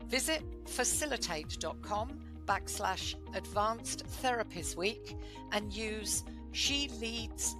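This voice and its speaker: A British woman reading a scripted sponsor spot as a podcast host. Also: medium pitch. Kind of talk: scripted ad read.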